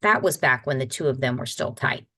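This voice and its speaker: A woman's voice: rumbling low, expressive, brisk, pushed and bright. A woman reading a children's novel aloud as a guest storyteller, in scripted narration. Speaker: guest reader.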